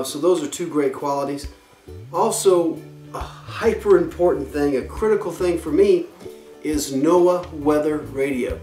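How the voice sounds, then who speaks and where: A man's voice: a little high, a little mellow, echoey room, distant mic. Chatty man hosting from his couch. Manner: upbeat, chatty, addresses viewer by name. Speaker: host of a kayak fishing show. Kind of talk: casual Q&A to camera. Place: living room, seated on a couch.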